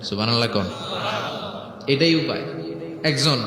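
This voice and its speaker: A man's voice: mid-range voice, moderate pace, crowd noise. A man preaching a dramatic storytelling sermon, acting out dialogue, repeating phrases and calling on listeners to respond. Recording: echoey hall with long reverb.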